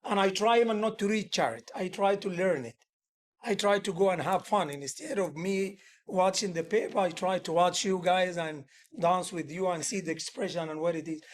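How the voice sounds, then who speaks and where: High-voiced man talking shop as a musician. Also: normal speed. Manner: earnest, rambling, loose grammar with many restarts. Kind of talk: casual workshop talk.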